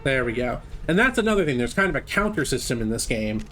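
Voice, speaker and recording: A man's voice: mid-range voice, big pitch swings, fast, fairly bright tone. A man giving casual, chatty live commentary on a game speedrun, explaining as he goes. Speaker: gameplay commentator.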